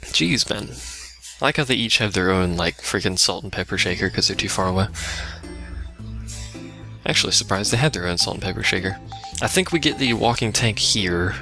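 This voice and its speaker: Low, gravelly man doing game commentary. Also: lively intonation, moderate pace, bright, forceful tone. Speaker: let's play host. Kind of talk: casual gameplay commentary. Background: game music and sounds.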